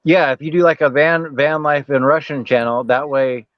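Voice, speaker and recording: A man's voice: a little high, steady tone, moderate pace, no room sound, lo-fi sound. A man co-hosting a casual interview, talking in an easygoing, rambling, encouraging way.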